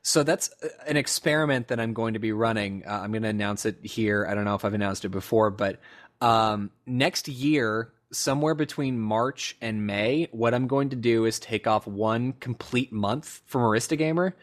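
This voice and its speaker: A man's voice: lowish voice, normal speed. A man hosting a podcast in casual chat, musing aloud with fillers and restarts. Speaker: podcast host.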